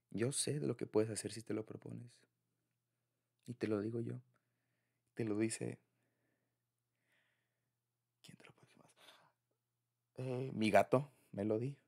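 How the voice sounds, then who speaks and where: Casting: Mexican man, mid-pitched with a gravelly fry, for casual, intimate boyfriend-roleplay audio; affectionate, loose delivery. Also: darkish tone. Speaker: ASMR roleplay creator playing a boyfriend.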